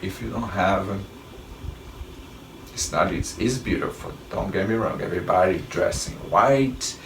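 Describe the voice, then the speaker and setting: A man's voice: on the low side, vocal fry, background noise. A middle-aged man with a Brazilian Portuguese accent, giving rambling travel tips in a casual monologue and often restarting his sentences. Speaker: travel vlogger. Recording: roomy, distant mic with faint ambience.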